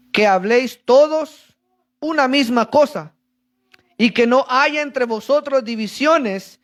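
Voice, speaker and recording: A man preaching a sermon to a congregation, reading verses and explaining them, calling for answers. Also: extremely high pitch. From Central America. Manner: reads scripture then explains, asks congregation for answers.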